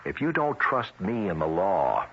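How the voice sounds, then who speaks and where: An actor playing a streetwise, grimly vengeful federal agent in 1970s radio drama, with tough, clipped, colloquial delivery. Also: male voice, deep voice, big pitch swings, unhurried, full character voice. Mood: firm, persuasive. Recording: muffled vintage radio recording, faint hiss.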